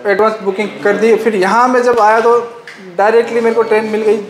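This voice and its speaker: A young male trainee gives a testimonial. His voice is notably high for a man. The indoor workshop adds clear echo. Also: flat delivery, fairly quick. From Rajasthan, India. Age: young adult. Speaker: technical trainee. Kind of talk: student testimonial, recounting his experience. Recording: echoey room sound.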